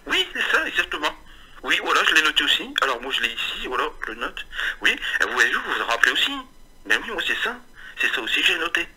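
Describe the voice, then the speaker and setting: A young man with a husky, bright and forward voice rambles through a prank phone call, stammering and piling up confused nonsense. His voice has a slightly raised pitch. The sound is thin and tinny, as if heard over a phone line. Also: very animated, quick pace. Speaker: prank caller.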